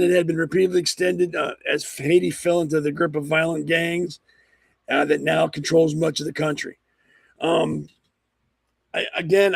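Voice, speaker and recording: A man hosting a political talk show, blunt and rambling with lots of ums, hoarse from a cold. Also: a little high, no echo. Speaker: podcast host. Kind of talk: opinionated political commentary.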